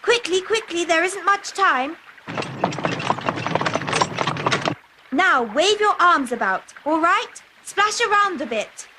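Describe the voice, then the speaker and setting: A woman's voice: extremely high pitch, animated, unhurried, very noisy. A woman voicing a clever, confident talking cat, loyal and assured, in a dubbed cartoon fairy tale. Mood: urgent. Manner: assured, bossy, eager to please. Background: music.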